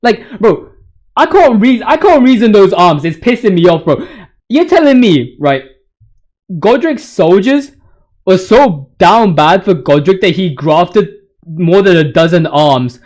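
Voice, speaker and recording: A man with a high, very lively voice talks through his opinions on a video game. His delivery is casual and full of slang, with exaggerated, joking outrage. He swears freely as he goes. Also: unhurried. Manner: ranting, hyperbolic, mock-outraged joking. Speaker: video game commentator ranking boss voice lines.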